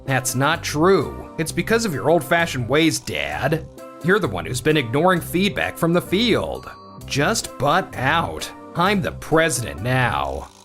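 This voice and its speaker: Guy acting as cocky young boss, dramatic n defensive, panics easy, pitch all over, manga dub, faint music. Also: huge pitch swings, unhurried, fairly bright tone, exaggerated voice. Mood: defiant. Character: arrogant, careless young company-president son, easily panicked.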